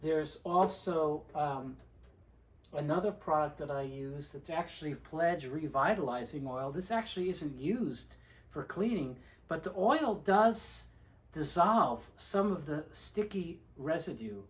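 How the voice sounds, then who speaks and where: A man's voice: on the high side, lively intonation, measured pace. A man presenting an informal how-to video, explaining things step by step in a methodical, plain-spoken way. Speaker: presenter of a how-to video on restoring tape reels. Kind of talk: informal instructional demonstration.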